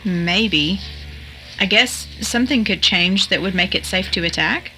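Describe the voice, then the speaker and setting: A woman's voice: fairly low pitch, measured pace, bright, forceful tone, soft background music, low-quality recording. A woman acting as a level-headed analyst in a mystery audio drama, speaking in an articulate, matter-of-fact way. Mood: analytical, matter-of-fact. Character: analytical, level-headed woman puzzling over a strange game.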